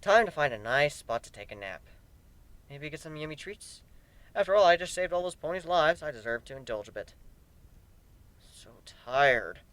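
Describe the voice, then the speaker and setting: Man doing a fed-up, wildly expressive griffin. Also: fairly high pitch, moderate pace, pauses often, no echo. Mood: weary. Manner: grumbling, sarcastic, prone to cursing outbursts. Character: exhausted, foul-mouthed, sarcastic male griffin, hot-tempered storyteller. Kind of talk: dramatic first-person story reading.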